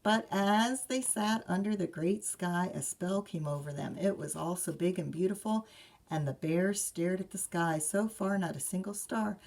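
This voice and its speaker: Lady librarian doing storytime, kinda low gravelly voice, expressive, chats to the kids. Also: normal speed, low-quality recording. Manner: expressive reading, talks directly to young listeners. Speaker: librarian reading to children. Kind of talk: read-aloud storytime with chatty asides.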